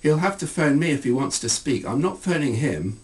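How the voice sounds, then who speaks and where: A man's voice: fairly high pitch, lively intonation, moderate pace, a little mellow, far from the mic, pitched above the performer's own voice, lightly acted. Man reading as an indignant, scornful teenage girl. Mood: grudging, indignant. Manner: emphatic, dismissive, colloquial. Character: indignant, scornful teenage girl ranting about her boyfriend.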